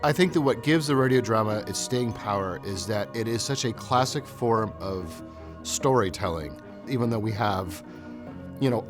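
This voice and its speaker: A man giving his reflective opinion in an interview. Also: fairly low pitch.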